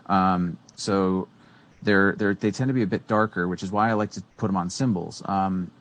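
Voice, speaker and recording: A very low-voiced man in his thirties talks through audio gear at a relaxed, unscripted pace. He wanders with frequent fillers and restarts, speaking into a studio microphone in a small room. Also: normal speed. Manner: rambling, many fillers and restarts. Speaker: co-host. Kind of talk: casual educational tech talk. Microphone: studio microphone on boom arm.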